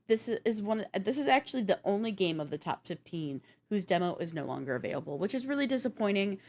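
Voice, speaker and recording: A woman's voice: mid-range voice, sing-song, fast talker, a little mellow. A woman co-hosting a gaming news show, counting down a list in a casual, chatty, informal way.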